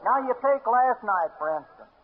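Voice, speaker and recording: A man's voice: very high voice, animated, moderate pace, narrow, tinny audio. A man playing a cheeky, broke hayseed sidekick with a folksy drawl in old-time radio comedy. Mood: sly, boastful. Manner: folksy, wisecracking, ungrammatical drawl. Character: broke, cheeky hayseed cowboy sidekick, self-styled playboy. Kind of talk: 1940s-50s radio comedy acting. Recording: noisy band-limited old radio broadcast.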